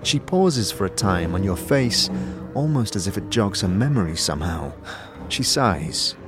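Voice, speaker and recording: Male narrator for a fantasy audio series, lower register, scripted storytelling over a music bed. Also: big pitch swings, unhurried. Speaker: narrator and game master. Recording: music under the voice.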